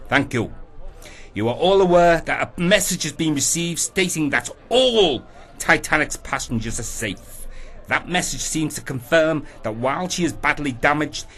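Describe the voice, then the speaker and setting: A man playing an authoritative, worried newspaper boss in a radio play, speaking in a commanding, deliberate way. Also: on the high side, bright, forceful tone. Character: authoritative, worried male newspaper boss addressing his staff.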